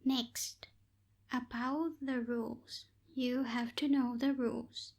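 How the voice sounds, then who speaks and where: A woman playing a proud, bossy but helpful young night witch in an ASMR roleplay, speaking hesitantly with soft asides, close to the microphone. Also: a little high, level tone, slow pace. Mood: bossy, instructing. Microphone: close binaural microphone.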